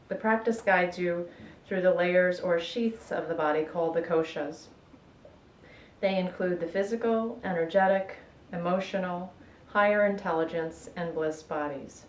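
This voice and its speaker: A woman guiding a relaxation meditation in a measured, soothing way, with gentle pauses. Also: lowish voice. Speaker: yoga nidra guide. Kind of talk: guided relaxation meditation, instructional narration. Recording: echoey room with faint background noise.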